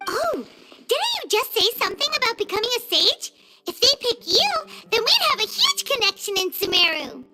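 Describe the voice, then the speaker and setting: A woman voicing a small, childlike fairy companion, perky, curious and excitable, with a chirpy video game delivery. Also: moderate pace, poor audio. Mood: curious, chatty. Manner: chirpy, squeaky, eager.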